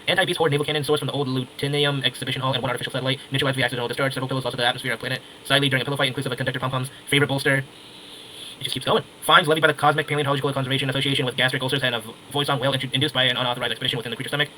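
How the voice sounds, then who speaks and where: Rapid-fire, bright-voiced young man gaming. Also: mid-range voice, level tone, a little hiss or hum, rough recording. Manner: informal, reactive, rattles through text. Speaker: gaming video creator. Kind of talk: casual playthrough commentary, reading game text aloud. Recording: faint game music behind.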